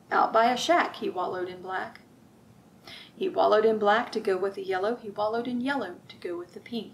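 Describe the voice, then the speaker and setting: A middle-aged woman reading aloud at a children's storytime, expressive and sing-song, drawing out rhymes and putting questions to young listeners. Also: mid-range voice, vocal fry, slight background noise, rough recording. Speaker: children's librarian, storytime host. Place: library room with bookshelves, indoors.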